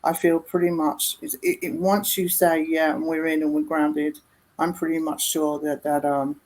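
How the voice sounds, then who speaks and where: A woman's voice: sits low, flat delivery, moderate pace, a little mellow, low-quality recording. A woman giving a psychic reading, chatty and repetitive, laughing easily. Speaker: psychic reader.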